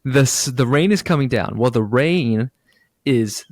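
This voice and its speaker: A man's voice: medium pitch, expressive, unhurried, no echo, clean studio sound. A man co-hosting a casual podcast, joking, laughing often and recapping a sermon series. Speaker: podcast co-host. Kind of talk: casual chat.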